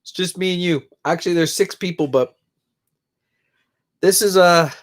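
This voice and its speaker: A man's voice: high-pitched, normal speed. A middle-aged man who is congested, rambling casually on a live stream from his own room and greeting viewers by name. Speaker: livestream host.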